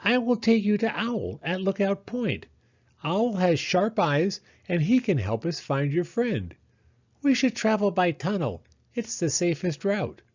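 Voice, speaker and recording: A man's voice: high voice, very animated, unhurried, breathy edge, lo-fi sound, voice pushed up, exaggerated voice. A man voicing a friendly, chatty female mole in a children's storybook, fussy and sociable. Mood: welcoming.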